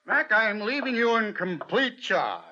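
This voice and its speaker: A man's voice: high voice, sing-song, a little slow, bright, forceful tone, a little hiss or hum, exaggerated voice. An actor playing an evasive, faint-hearted male team owner in a 1940s radio drama, hemming and hesitant. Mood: uneasy, evasive. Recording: dull old radio recording.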